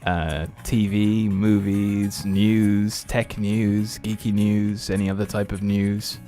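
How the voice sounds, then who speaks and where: A man's voice: fairly low pitch, level tone, slow, warm tone, soft background music. A man from Austria hosting an entertainment podcast in a casual, rambling and hesitant chat style. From born and partly raised in Austria. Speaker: podcast host.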